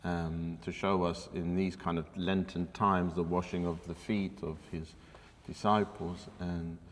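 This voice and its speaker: A very low male voice that talks slowly and haltingly with many pauses and fillers. It sounds as if he is in a hall with a long echo. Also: little pitch movement, slight background noise. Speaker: panel member. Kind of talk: casual panel discussion.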